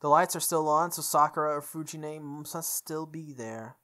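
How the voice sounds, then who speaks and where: A young man reading a visual novel aloud as a let's play host, breaking into casual animated commentary. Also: fairly high pitch, moderate pace, smooth.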